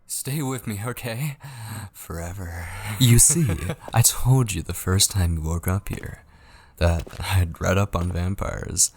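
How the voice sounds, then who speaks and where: An obsessive yandere kidnapper, played by a man, murmurs in a low, gravelly voice for an ASMR roleplay. He is possessive and sweetly menacing, coaxing slowly and often sinking toward a husky whisper, very close and dry on the mic. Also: darkish tone, no room sound, full character voice. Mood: possessive teasing. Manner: slow, coaxing, teasing endearments. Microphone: close studio microphone, dry.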